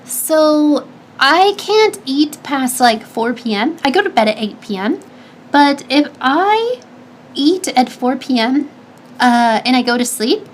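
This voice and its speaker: High-pitched, slightly nasal woman streamer. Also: slight background noise. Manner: chatty, advice-giving, lots of restarts and fillers. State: stuffy nose. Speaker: streamer answering chat. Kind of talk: casual chat.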